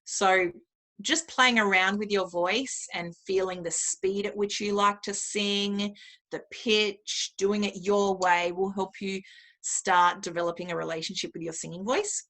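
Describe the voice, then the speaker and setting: A woman's voice: medium pitch, fairly even intonation, measured pace, fairly bright tone. A woman speaking as an interview guest, explaining and giving advice in a conversational, instructive way. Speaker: interview guest, music therapist.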